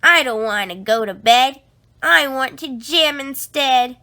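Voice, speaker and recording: A woman's voice: sits high, expressive, takes it slowly, sharp tone, exaggerated voice. A woman voicing a playful, restless male platypus in a children's story, childlike and insistent about staying up. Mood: defiant, playful.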